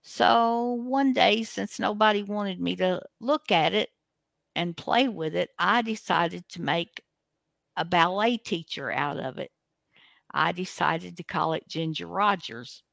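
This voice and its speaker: A woman's voice: fairly low pitch, gravelly, no echo, clean studio sound. A woman hosting a radio show, chatting casually in a folksy, rambling way as she introduces the program.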